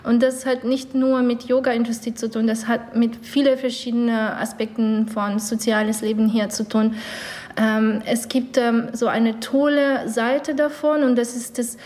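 Slow, hesitant woman, non-native speaker. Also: fairly high pitch, level tone, a little mellow. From Ukraine, raised in Canada. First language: not German. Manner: hesitant, many fillers, searches for words. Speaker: yoga teacher, guest. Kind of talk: interview.

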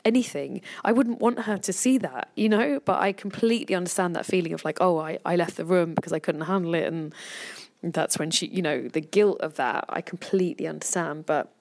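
A woman's voice: lowish voice, a little fast, darkish tone. An English woman in her thirties, talking candidly in a podcast conversation and swearing freely. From England.

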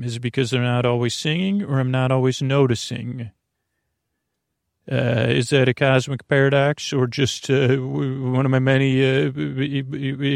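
Creaky-voiced man, rambling and close-up. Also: medium pitch, no room sound. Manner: meandering, stammering, trailing off and losing his thread. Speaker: podcast host. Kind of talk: rambling, informal bedtime podcast talk to listeners.

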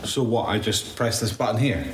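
A man with a Scottish accent playing a weary, rattled zombie-apocalypse survivor in an audio drama, speaking haltingly and swearing as he records his testimony. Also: on the low side, noisy background, natural voice. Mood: flustered, hesitant.

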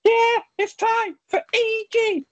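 A woman voicing a blunt, grumpy female giraffe sidekick on a comedy podcast, with a deadpan manner. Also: very high voice, slow, lo-fi sound, faint music behind. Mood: announcing.

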